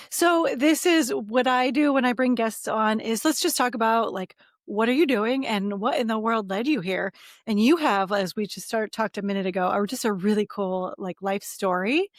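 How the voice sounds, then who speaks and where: A woman's voice: mid-range voice, no echo, studio quality. A woman hosting a podcast, chatty and encouraging, drawing out her guest with easy questions. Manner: chatty, encouraging, with fillers and laughs. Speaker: podcast host. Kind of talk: conversational interview.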